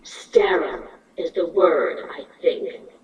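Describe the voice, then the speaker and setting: A woman's voice: low-pitched, animated, takes it slowly, a little hiss or hum, rough recording. A woman playing a proud, irritable insect-like queen with a grudging, haughty, curt manner in an amateur fan fiction drama. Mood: wary, demanding.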